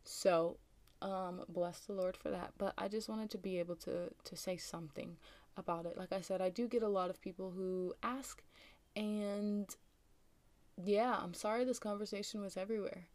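Young american woman podcasting, bit raspy from waking up, mellow voice, lots of ums and likes, dry close sound. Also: mid-range voice, fairly even intonation, moderate pace, no room sound. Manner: rambling, chatty, frequent ums and likes. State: slightly raspy morning voice. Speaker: podcast host. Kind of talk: casual solo podcast chat.

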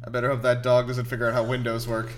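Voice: mid-range voice, completely flat delivery, quick pace. A co-host of a reaction show chatting casually about a movie, with slangy, sweary talk. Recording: film soundtrack playing behind.

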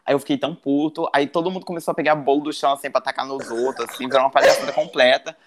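A man's voice: mid-range voice, fairly even intonation, rapid-fire, very noisy. Brazilian man telling a chatty story. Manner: storytelling, many fillers like "tipo" and "assim". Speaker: podcast host. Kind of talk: casual chat. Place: living in Portugal.

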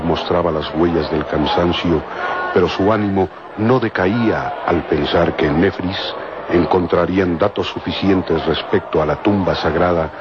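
A man narrating a vintage radio serial in a solemn, measured, dramatic storytelling style. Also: sits low, background noise. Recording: muffled old radio recording. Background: loud dramatic music.

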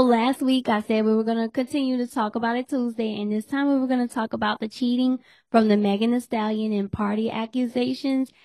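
Podcast host, woman, hoarse and losing her voice, higher-pitched, teasing chat in a dry room. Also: dry recording. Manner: chatty, laughs often, teasing. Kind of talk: casual chat.

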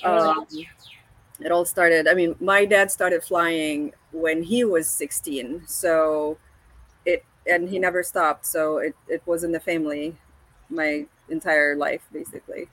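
A woman, a paramotor pilot speaking as an interview guest, hesitant with many pauses and restarts. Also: low voice, steady tone, a bit bright, poor audio. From Egypt. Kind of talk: casual video-call interview.

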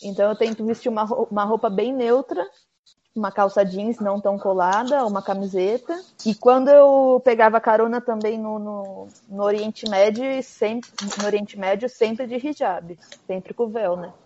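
A woman's voice: medium pitch, normal speed, mellow. A Brazilian woman talking casually as a guest about hitchhiking, peppering her speech with fillers. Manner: chatty, frequent "tipo" fillers. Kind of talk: casual conversation. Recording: dull lo-fi sound.

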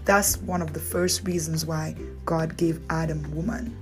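A woman's voice: low-pitched, fairly even intonation, a little slow, music in the background. Woman with a Dominican accent teaching scripture. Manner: conversational, asks rhetorical questions, playful asides. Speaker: Bible teacher. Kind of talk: relaxed devotional teaching.

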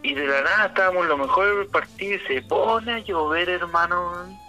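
A man's voice: sits high, moderate pace, pushed and bright, faint music behind, rough recording. A man with a Chilean accent, co-hosting a casual chat and telling stories in an easygoing, slangy way.

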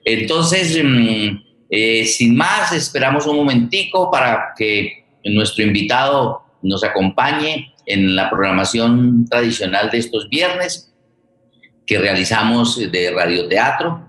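A man with a Colombian accent hosting a radio theater program conversationally, with halting, unhurried phrasing. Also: medium pitch, expressive, fairly bright tone. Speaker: host of a radio theater forum. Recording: echoey room sound.

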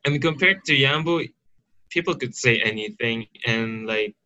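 Young male guest, bright, tense tone, halting casual talk peppered with "you know". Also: mid-range voice, dull audio. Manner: hesitant, lots of "you know". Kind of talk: casual conversation.

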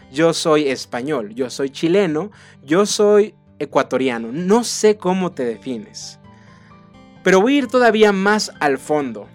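A Mexican man hosting a motivational podcast, speaking earnestly and asking the listener rhetorical questions. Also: fairly high pitch. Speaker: podcast host.

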